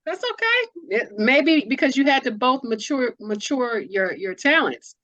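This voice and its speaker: A woman's voice: sits high, big pitch swings, unhurried, fairly bright tone, no room sound, highs cut off. A woman hosting a casual interview, talking in an encouraging, slangy, conversational way. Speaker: interviewer, host.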